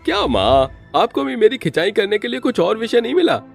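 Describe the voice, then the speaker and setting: Story role: gleeful young son acted by a man, affectionate, teasing, high swooping delivery. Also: very animated, fairly quick, vocal fry, faint music behind, full character voice.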